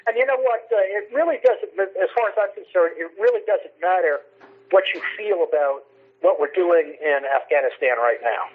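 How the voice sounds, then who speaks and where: Husky man on a tinny phone line. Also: sits high, moderate pace. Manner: emphatic, repeats phrases for stress. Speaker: radio commentator on the phone. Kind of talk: conversational political commentary. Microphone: telephone. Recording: tinny, narrow telephone line.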